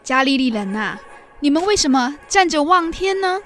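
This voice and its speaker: A woman's voice: sits high, sing-song, normal speed, a bit bright. A woman playing a solemn angel messenger, declaiming in a religious radio drama. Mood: solemn proclaiming.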